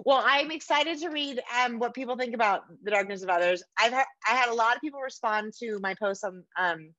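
A woman's voice: medium pitch, fairly bright tone, dry recording. American woman hosting, slightly muffled sound. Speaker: podcast host interviewing an author. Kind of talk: casual interview chat. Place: book-lined room at home, indoors. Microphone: video call.

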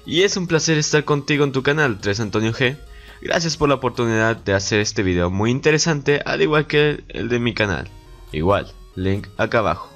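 Medium-pitched man narrating over music. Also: lively intonation, moderate pace, fairly bright tone. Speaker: guest co-narrator. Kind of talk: scripted narration for a music trivia video.

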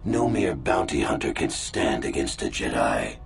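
An actor playing a menacing, imperious Sith lord in a video game, with a gravelly voice. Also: male voice, extremely low pitch, very animated, moderate pace, full character voice. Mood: cold menace. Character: menacing Sith lord villain, cold commanding master. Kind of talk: scripted video game acting. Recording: music behind the voice.

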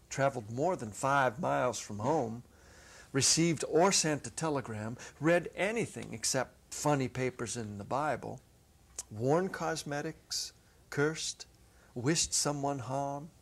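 A middle-aged man narrating a story dramatically from a script, with deliberate phrasing and pauses. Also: mid-range voice. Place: small indoor stage set. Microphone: clip-on lapel mic.

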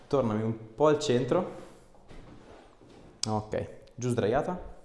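A man's voice: mid-range voice, animated, slow, darkish tone. A man, a chiropractor coaxing a patient with short, encouraging instructions. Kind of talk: hands-on instructions and casual explanation to a patient. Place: small clinic treatment room. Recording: echoey room, clear background noise.

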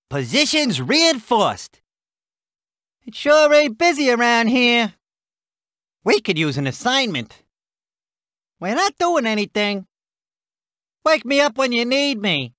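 Brash US Army transport driver for a strategy game: American, high, forceful voice, clipped slangy barks. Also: male voice, dry recording, clean studio sound, exaggerated voice. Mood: eager, ready, then grumbling. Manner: punchy, clipped, slangy soldier delivery. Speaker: game unit voice actor. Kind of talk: video game unit barks.

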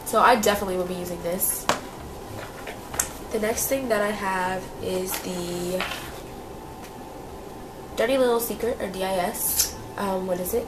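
A woman's voice: mid-range voice, noisy background. Chatty young woman, slightly nasal. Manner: chatty, lots of fillers and repeats. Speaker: beauty vlogger. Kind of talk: casual product review.

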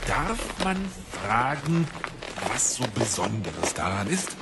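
An actor in a German audio drama playing a shifty, obsequious gang leader with menace underneath and theatrical delivery. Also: male voice, mid-range voice, sing-song, takes it slowly, gravelly, very noisy, exaggerated voice. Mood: fawning.

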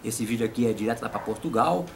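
A man's voice: medium pitch, quick pace, vocal fry, a little hiss or hum. A man in his fifties with a Brazilian accent, hosting a casual comic interview. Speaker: host and puppeteer.

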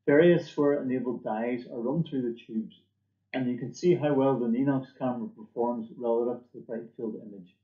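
A man delivers a scripted corporate presentation with a measured, formal delivery. His pitch sits in the middle range for a male voice. The sound is slightly dull and noticeably roomy, as if captured by a computer microphone in a small office. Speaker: company presenter. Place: small indoor office or lab room.

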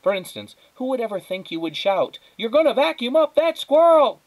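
A man's voice: extremely high pitch, very animated, moderate pace, darkish tone, voice pushed up, heavily acted, rough recording. A man voicing a cynical, stubborn young girl who exclaims indignantly in a children's storybook. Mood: indignant, shouting. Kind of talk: children's storybook read-aloud.

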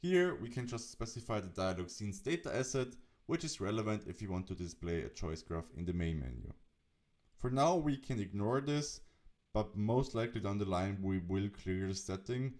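A man presenting a software tutorial, narrating the setup step by step. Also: lowish voice.

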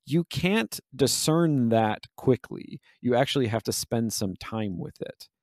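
A man's voice: medium pitch, lively intonation, measured pace, a little mellow, no echo, clean studio sound. A man hosting a podcast, talking through game analysis in a wordy, analytical way and often rephrasing himself mid-sentence. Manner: analytical, wordy, hesitant self-interrupting phrasing. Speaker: podcast host.

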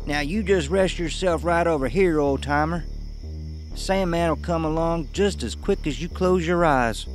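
A man's voice: on the high side, a little slow, soft background music, close to an everyday voice. An actor playing a slick, falsely soothing young Southern man in an audio horror drama. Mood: coaxing.

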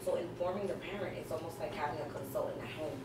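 Woman in the audience, low voice, earnest, heavy echo, far from the mic. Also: quick pace. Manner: earnest, personal storytelling. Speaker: audience member commenting. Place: conference room, in the audience. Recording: echoey room from far off, noisy.

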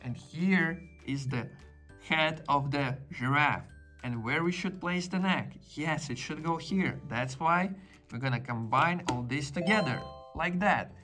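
A man, slowly and patiently talking toddlers through a puzzle, praising each step with "great" and "well done.". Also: on the high side, bright, forceful tone, soft background music. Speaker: children's video presenter. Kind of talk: slow, encouraging narration to toddlers while doing a puzzle.

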